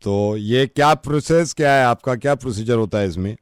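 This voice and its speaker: A man playing a polite, worried middle-aged father on a prank call, courteous, measured and confiding. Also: mid-range voice, smooth, dry recording, clean studio sound, natural voice. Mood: polite, concerned.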